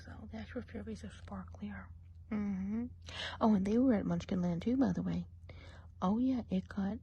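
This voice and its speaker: A woman's voice: fairly low pitch, muffled recording, slight background noise. Woman playing a whispering, gossipy witch of the woods. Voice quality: soft, breathy whisper. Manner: hushed, chatty, conspiratorial gossip. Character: gossipy friendly witch of the woods giving eye exam. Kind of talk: whispered ASMR role play.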